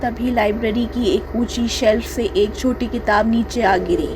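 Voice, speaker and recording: A woman's voice: fairly high pitch, fairly even intonation, measured pace, noisy background. A woman reading a scripted children's story as the storyteller.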